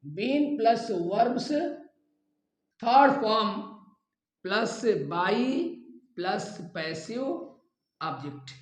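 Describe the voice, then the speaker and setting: A man's voice: high-pitched, expressive, very slow, long pauses. A man teaching a grammar lesson in a didactic way, repeating phrases as if for dictation. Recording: echoey, reverberant room.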